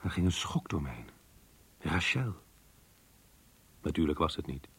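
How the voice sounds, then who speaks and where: An actor in a radio play playing a brooding, guarded man, husky and reserved, speaking in a measured way. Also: extremely low pitch, sing-song, fairly bright tone, heavily acted. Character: brooding, guarded man recounting his diary. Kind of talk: radio drama narration and dialogue.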